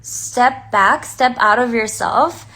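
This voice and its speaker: A woman's voice: a little high, slow pace, sharp tone. A woman chatting casually on a livestream, heartfelt and talkative, filling her speech with "like.". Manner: chatty, heartfelt, many "like" fillers. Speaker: singer and dancer on a livestream.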